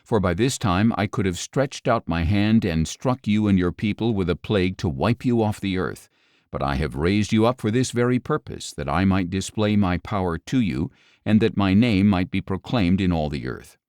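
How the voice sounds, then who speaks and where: A man reading scripture as an audiobook narrator in a measured, solemn manner. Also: low voice, lively intonation, darkish tone, no room sound, clean studio sound.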